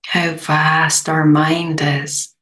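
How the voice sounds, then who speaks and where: Meditation guide, female. Extremely slow delivery, gentle, low register, little melodic movement. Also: little pitch movement. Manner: gentle, unhurried, long pauses.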